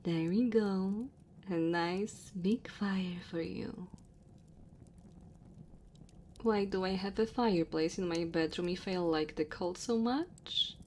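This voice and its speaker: A woman voicing a lonely, caring dragon in an intimate, tender ASMR roleplay. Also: lowish voice. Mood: warm, amused. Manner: slow, tender, breathy murmuring. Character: dominant but caring, lonely female dragon. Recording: steady ambient fire and cave noise. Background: crackling fire ambience.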